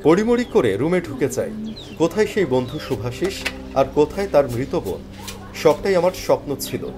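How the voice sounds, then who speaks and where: A man's voice: on the high side, very animated, moderate pace. A man giving dramatic, scripted first-person narration of a story. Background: background music.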